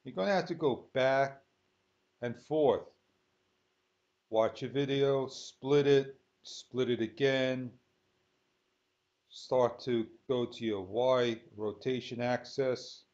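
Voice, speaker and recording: Slow-talking man, dull lo-fi recording. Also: mid-range voice, a little mellow. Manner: halting, thinking aloud in short phrases. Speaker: software tutorial host. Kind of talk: unscripted screen-recorded tutorial. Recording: dull lo-fi sound.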